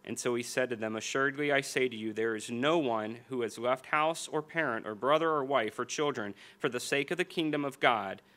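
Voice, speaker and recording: An American man in his forties delivers a sermon from a church lectern into a small stand mic. His voice is bright and forceful at a middle pitch. He reads scripture earnestly, slows down to lean on key phrases, and repeats them. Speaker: pastor. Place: church hall stage at a lectern.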